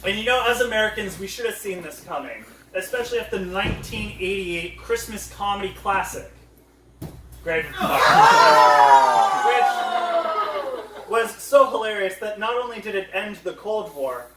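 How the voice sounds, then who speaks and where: A man with a high-pitched voice gives a casual comic mock lecture as a panel co-presenter. He is sarcastic while pretending to be serious. The room echoes and he sounds a little way from the microphone. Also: very animated, normal speed, noisy background. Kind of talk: casual comedic mock lecture to a live audience. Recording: roomy echo, picked up from a distance.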